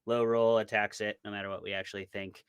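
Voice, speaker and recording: A man's voice: on the low side, little pitch movement, quick pace, clear, resonant tone, no echo. A man being interviewed as a podcast co-host, explaining things in a casual, informal way.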